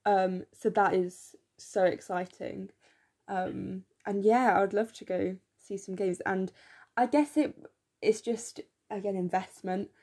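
A young woman co-hosting a podcast in casual chat, enthusiastic and full of fillers, her voice slightly croaky, recorded on a phone in her room. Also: medium pitch, steady tone, slow, dark tone. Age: young adult. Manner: chatty, enthusiastic, many fillers. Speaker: podcast co-host.

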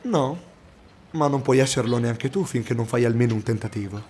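Man acting an encouraging shipmate, middling pitch. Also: moderate pace, darkish tone, a little hiss or hum, close to an everyday voice. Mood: friendly, coaxing. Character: friendly, encouraging shipmate on a sailing ship. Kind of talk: fantasy audio drama acting.

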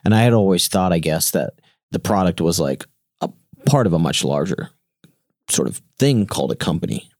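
A deep-voiced man in his thirties, a founder telling his story in a casual interview, and Gujarati was his first language. He stumbles and restarts often, then lifts his voice when quoting others. The sound is close and dry. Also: no echo. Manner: halting, many restarts and fillers, animated quoting. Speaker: startup founder, guest.

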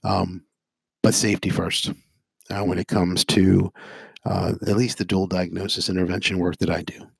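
A hoarse man, a psychotherapist and addiction interventionist, giving a halting talk to viewers full of ums and uhs and strings of listed phrases. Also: sits low, flat delivery, no room sound. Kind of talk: informational monologue to viewers.

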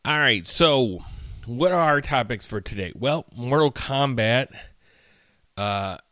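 A man of ordinary pitch talks into a close mic in a dry, dead room, chatting loosely and restarting his sentences often. He sounds worn out and a little nasal, like someone still getting over a bad cold. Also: animated, takes it slowly, fairly bright tone, no room sound. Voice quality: slightly nasal. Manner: chatty, rambling, with frequent fillers and restarts. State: tired, recovering from illness. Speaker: podcast host. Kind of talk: casual solo podcast rant. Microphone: studio mic.

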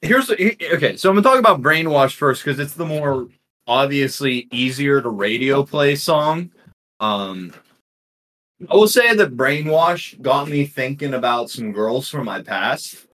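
A man co-hosting a casual music podcast, easygoing and thinking out loud. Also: mid-range voice, animated, a little slow. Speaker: podcast co-host. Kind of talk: casual chat.

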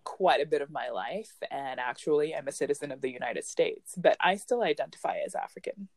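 A young woman from Ghana hosting a casual podcast discussion in a relaxed, chatty way. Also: sits low, brisk, dry recording. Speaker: podcast host.

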